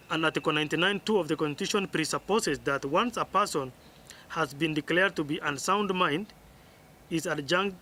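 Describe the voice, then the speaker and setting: A man's voice: fairly high pitch, sharp tone, slight background noise. A man with a Kenyan accent formally reading out a legal ruling, often stumbling and repeating words. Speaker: electoral commissioner reading a ruling.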